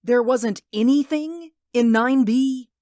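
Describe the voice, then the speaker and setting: A woman's voice: on the high side, a little mellow, dry recording, clean studio sound. A woman doing a jittery, sleepless man. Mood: anxious. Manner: halting, stammering, pleading. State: sleep-deprived, anxious. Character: nervous sleepless man, Mister Ames, haunted by a sight. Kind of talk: hobby radio drama acting.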